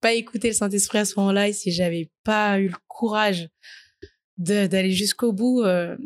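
A woman's voice: mid-range voice, moderate pace, no echo. Hesitant young woman, dry close sound. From French Guiana. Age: 20s. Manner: hesitant, many "euh" and "du coup", laughs easily. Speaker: podcast guest. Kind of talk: casual interview talk.

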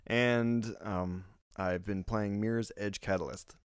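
A man's voice: lowish voice, steady tone, slow pace, clean tone, dry recording, studio quality. A man hosting a casual podcast chat over beers, joking loosely and laughing often. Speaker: podcast host.

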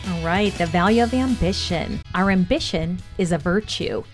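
A woman presenting a scripted motivational podcast lesson, reading aloud in a measured, deliberate, instructional way. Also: lowish voice, soft background music.

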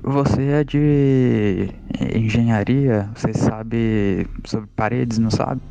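A man at a tabletop game, speaking slowly with a deadpan delivery. His voice is dark and gravelly, with faint music behind. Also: on the low side, rough recording. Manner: drawn-out, deadpan, odd questions. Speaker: player. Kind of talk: in-character tabletop game chat.

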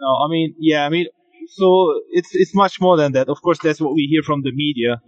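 A man answering interview questions from outdoors over a remote call, measured and a little repetitive. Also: a little high, steady tone, muffled recording. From Germany. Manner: measured, repeats himself, light fillers. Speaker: podcast guest, football agent.

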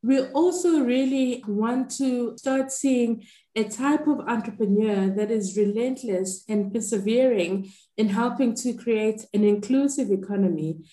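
A woman's voice: on the high side, mellow. Earnest woman speaking in a roomy space. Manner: measured, earnest, formal phrasing. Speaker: foundation leader. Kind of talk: formal interview answer. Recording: roomy echo.

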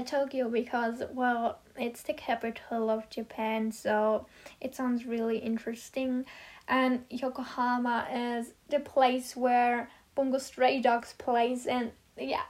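A fifteen-year-old girl whose first language is German speaks in a high voice, taking her time. She rambles through a casual chat about herself, full of fillers and little giggles. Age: teens. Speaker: vlogger answering questions about herself.

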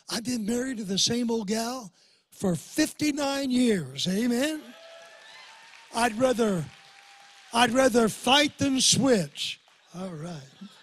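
An elderly man in his eighties preaches from a church pulpit, his old voice hoarse and husky yet pitched fairly high. He tells folksy stories and calls out for amens from the congregation. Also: no room sound. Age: 80s. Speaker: guest pastor. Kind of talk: sermon with personal stories. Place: church sanctuary before a congregation.

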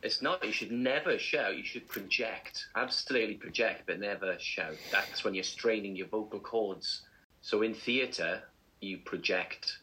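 A man co-hosting a casual show, animated and theatrical, laughing easily, heard over a video call. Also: lowish voice, measured pace, poor audio. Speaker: co-host. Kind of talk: casual chat.

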